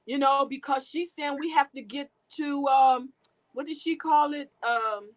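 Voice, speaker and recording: A middle-aged woman candidly telling her life story as an interview guest, halting and restarting, often laughing. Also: sits high, fairly even intonation, low-quality recording.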